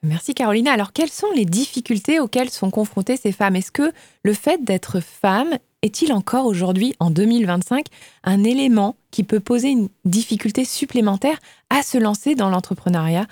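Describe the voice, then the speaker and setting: A woman's voice: medium pitch, expressive, moderate pace, a little mellow, no room sound. Woman interviewer, close dry sound. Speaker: radio interviewer. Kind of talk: interview questions.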